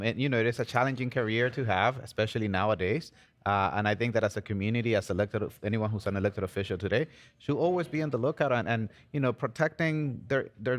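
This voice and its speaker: A man from the Dominican Republic with a slightly low voice speaks as a panel guest. A native Spanish speaker, he uses measured, formal, diplomatic phrasing about public affairs. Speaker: panel guest, former mayor.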